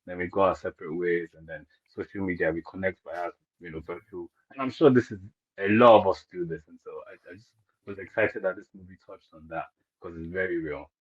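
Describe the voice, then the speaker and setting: Very deep husky man from Nigeria. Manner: earnest, halting with repeated words. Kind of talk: casual chat. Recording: muffled lo-fi audio.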